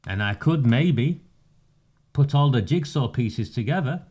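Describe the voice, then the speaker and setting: A man, delivering a measured, sarcastic campaigning monologue with emphatic pauses. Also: medium pitch, lively intonation, warm tone, slightly rough. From northern England. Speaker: community campaigner. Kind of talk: direct-to-camera monologue.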